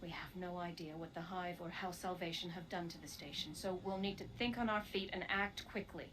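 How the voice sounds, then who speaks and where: A woman playing a serious, methodical operations commander in a video game, briefing in a measured, resolute way. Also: on the low side, fairly even intonation, noisy background, lightly acted. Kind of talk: scripted video game dialogue. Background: music.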